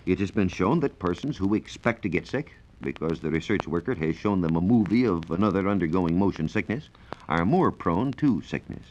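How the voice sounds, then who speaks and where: An American man working as a science reporter gives a formal, measured, scripted explanation in the style of 1940s educational radio. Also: deep voice, animated, a little mellow. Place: radio studio. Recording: dull, lo-fi 1940s radio recording.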